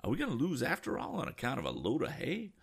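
A man reads an excitable eccentric old gent, pitched way up, gravelly, swinging wildly in tone. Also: normal speed, exaggerated voice. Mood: excited. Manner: fussy exclamations. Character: eccentric excitable older gentleman.

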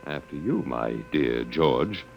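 A man's voice: rumbling low, lively intonation, takes it slowly, vocal fry, music in the background, full character voice. An actor playing a wry, resigned male academic in an old radio drama, lecturing with hesitant repetitions. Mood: dry, bantering. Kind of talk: classic radio drama acting. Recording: noisy old radio recording.

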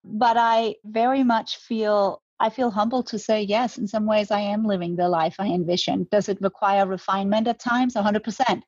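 A woman's voice: mid-range voice, fairly even intonation, normal speed, few pauses, a little mellow, no room sound, lo-fi sound. A woman, talking reflectively as an interview guest, often restarting her words. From Denmark. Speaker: guest, brand co-founder. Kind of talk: conversational interview.

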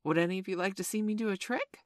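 A woman's voice: mid-range voice, fast talker, no room sound, clean studio sound, voice pushed up, close to an everyday voice. A woman voicing a humble, gloomy little boy who pleads heavily and hesitantly in a children's storybook. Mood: plaintive. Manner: heavy, hesitant, plaintive.

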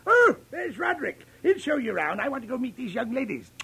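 A man playing a feisty, eccentric, folksy man in his seventies in a 1940s radio drama, with a raspy, creaky voice. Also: extremely high pitch, very animated, brisk, bright, forceful tone, exaggerated voice. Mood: chatty, eager. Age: 70s. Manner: folksy, brash, chatty. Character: feisty old man in a wheelchair, eccentric butterfly collector. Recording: vintage 1940s radio recording.

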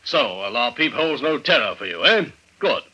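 An actor playing a jaunty, unflappable English clerk who climbs mountains, with a British accent and breezy, clipped understatement, in old-time radio style. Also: male voice, medium pitch, big pitch swings, normal speed, bright, forceful tone, breathy edge, heavily acted. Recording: vintage radio drama recording.

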